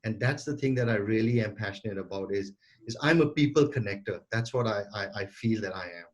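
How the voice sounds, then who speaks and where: A man's voice: fairly low pitch, brisk. A man in his forties, a guest entrepreneur answering interview questions earnestly over a remote call, often repeating and restarting words. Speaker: guest entrepreneur and sales coach.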